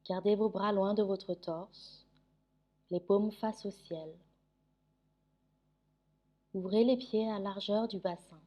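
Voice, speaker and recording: Female meditation guide, dark soft-edged timbre, mid pitch, soothing and unhurried with long pauses. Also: fairly even intonation. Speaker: yoga nidra meditation guide.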